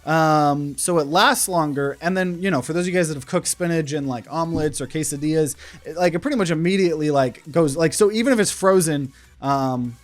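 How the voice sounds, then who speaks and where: Twenties guy, a bit higher pitched, rambles casually to camera with plenty of ums and restarts. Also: brisk, soft background music. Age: 20s. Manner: rambling, many fillers and restarts. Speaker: content creator. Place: indoor room. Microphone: camera at desk.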